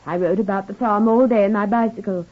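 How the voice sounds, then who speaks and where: A woman's voice: mid-range voice, fairly even intonation, quick pace, natural voice. An actress playing a genteel, guarded woman who manages a farm, speaking carefully and insistently in an old radio drama. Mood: guarded, uneasy. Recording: muffled, band-limited vintage radio recording.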